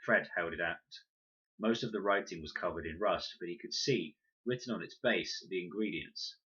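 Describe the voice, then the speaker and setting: Male storybook reader: low, bright-edged voice, scripted narration, slightly lo-fi. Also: normal speed, slightly rough. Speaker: audiobook reader.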